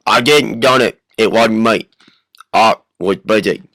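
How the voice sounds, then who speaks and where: A loud, gravelly brute of a villain acted out by a man in an amateur radio play. He is curt, defiant and slippery, throwing out blunt, ungrammatical denials over and over. The sound is dry and close. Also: fairly low pitch, unhurried, dry recording.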